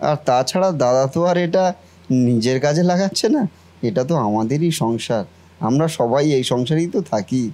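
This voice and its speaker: A man voicing a trusting, devoted young brother and gullible nephew in a cartoon family drama, earnest and expressive. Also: medium pitch, fairly quick, close to an everyday voice. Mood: earnest, humble. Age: young adult.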